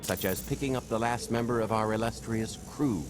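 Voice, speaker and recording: An actor playing a smooth-talking roguish con artist in a video game, breezy, wry and sly. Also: male voice, lowish voice, brisk, music in the background, close to an everyday voice. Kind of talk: video game cutscene acting.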